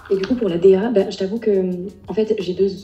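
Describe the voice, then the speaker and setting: A woman's voice: medium pitch, fast, warm tone. A woman talking hesitantly through two options, as a client on a call. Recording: dull, distant, roomy, faint music.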